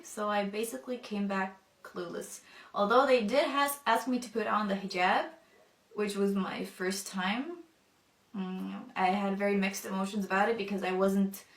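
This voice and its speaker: A young woman, not a native English speaker, telling her personal testimony thoughtfully, with many pauses and fillers. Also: mid-range voice, roomy sound, distant mic. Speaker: convert telling her story.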